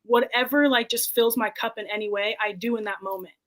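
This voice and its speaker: A woman's voice: on the high side, steady tone, a little fast, a bit bright. A woman in her twenties, a podcast guest, talking candidly and reflectively in casual conversation. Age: 20s. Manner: candid and reflective, quotes her own past thoughts.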